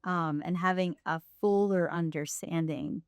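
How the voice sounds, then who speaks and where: A woman's voice: lowish voice, steady tone, a little slow, clean tone, dry recording, clean studio sound. A woman hosting a casual faith conversation, affirming her guests and moving the talk along. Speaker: host.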